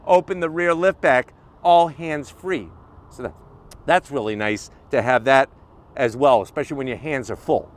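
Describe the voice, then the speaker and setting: A man's voice: mid-range voice, moderate pace. A man hosting a walkaround car review outdoors, talking to camera in a punchy, colloquial way with rhetorical questions and catchphrases. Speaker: car review video host.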